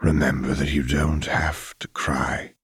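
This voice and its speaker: A man voicing a calm, calculating father figure with soft menace in an audiobook, speaking in a measured, deliberate way. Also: a bass voice, breathy edge, no room sound, studio quality, pitched below the performer's own voice, heavily acted. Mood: soothing.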